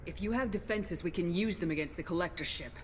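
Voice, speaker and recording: An actress playing a resolute military commander in a video game, calm, direct and authoritative. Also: female voice, on the low side, fast talker, background noise, lightly acted. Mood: firm questioning.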